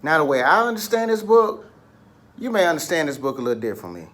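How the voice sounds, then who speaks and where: A man's voice: on the high side, very animated, quick pace, a bit bright. A man leading a picture book read-aloud lesson for children, instructing, asking questions and prompting listeners along.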